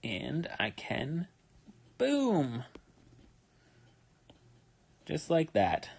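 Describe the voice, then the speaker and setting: A tired young man with a relaxed, rambling manner, talking casually with many fillers as he draws live and explains his steps. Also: fairly high pitch, very slow, gravelly, husky. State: tired, yawning. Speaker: manga artist streaming his drawing. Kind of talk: casual livestream commentary while drawing.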